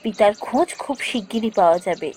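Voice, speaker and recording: A woman's voice: medium pitch, animated, fast talker, darkish tone, a little hiss or hum, natural voice. A woman playing a brave, dutiful young cartoon princess who speaks in an earnest, reassuring way. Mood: reassuring, resolute.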